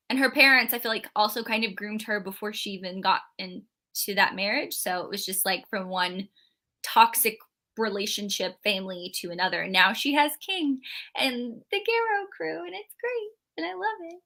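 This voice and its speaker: A woman's voice: fairly high pitch, moderate pace, bright, forceful tone. A woman co-hosting a live book discussion, talking casually and rambling with many fillers and restarts. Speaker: book club co-host.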